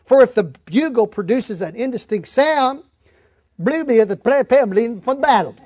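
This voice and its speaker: A man's voice: very high-pitched, animated, moderate pace, darkish tone, no echo. A man preaching a verse-by-verse Bible lesson in an emphatic, folksy, plain-spoken way. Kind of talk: Bible-teaching sermon, verse-by-verse reading and explanation.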